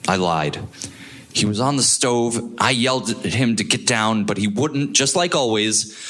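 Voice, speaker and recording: A man's voice: fairly low pitch, animated, a little slow, a bit bright. A man playing a tentative, defensive, guilt-laden husband in a live radio play, hesitant and pleading. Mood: guilty confession. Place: live on a theatre stage.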